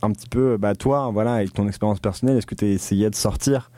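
A man's voice: fairly low pitch, quick pace, dark tone, no room sound. A man hosting a casual conversational interview, asking questions in an informal, bantering way.

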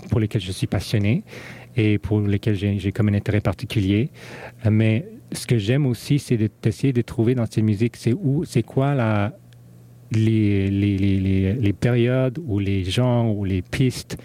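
Man, DJ guest, English speaker, hesitant, many fillers, low voice. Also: dark tone. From Canada. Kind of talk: interview conversation.

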